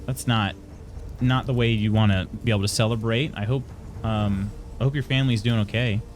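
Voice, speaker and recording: A young adult man streaming live, reading out chat messages and answering them in a halting, chatty way with frequent restarts. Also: on the low side, fairly even intonation, a little hiss or hum.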